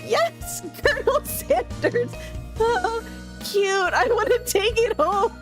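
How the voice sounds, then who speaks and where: A woman voicing a sweet, dreamy, excitable girl from a visual novel, gushing and hesitant. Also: very high voice, takes it slowly, faint music behind, pitched above the performer's own voice, exaggerated voice. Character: sweet, dreamy, excitable girl who adores cute things.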